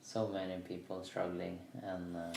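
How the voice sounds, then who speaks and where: A man who is the podcast guest, a sailor, speaking earnestly and quietly in casual conversation. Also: sits low, little pitch movement, normal speed, gravelly, roomy sound, low-quality recording.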